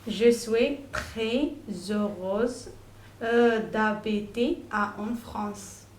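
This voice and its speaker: Very slow Moroccan-accented woman. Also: on the high side, fairly even intonation. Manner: halting, careful, stumbles on long words. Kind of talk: short halting self-introduction. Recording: echoey room, faint noise.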